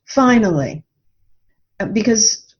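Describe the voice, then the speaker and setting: A woman's voice: medium pitch, lively intonation, slow, husky, dull audio. A woman answering as a podcast guest in a reflective, explanatory, conversational way.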